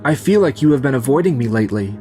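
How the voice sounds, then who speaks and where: A man's voice: medium pitch, lively intonation, fast talker, music in the background, natural voice. A man voicing a kind, sincere young businessman in a romantic comedy manga dub.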